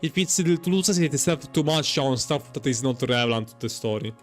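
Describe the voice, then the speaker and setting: A man doing casual live gameplay commentary, halting and repeating words as he searches for phrasing. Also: mid-range voice. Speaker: game streamer. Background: faint game music.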